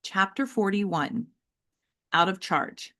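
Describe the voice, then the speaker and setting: A woman reading a children's novel aloud like an audiobook, with deliberate, emphatic and varied phrasing. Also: medium pitch, expressive, bright, forceful tone. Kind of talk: expressive audiobook-style storytelling for children.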